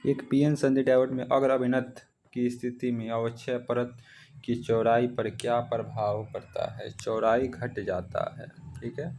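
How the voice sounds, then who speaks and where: A man's voice: medium pitch, flat delivery, unhurried, a little mellow, a little hiss or hum. An Indian man teaching exam practice, reading out questions and following each with a short answer.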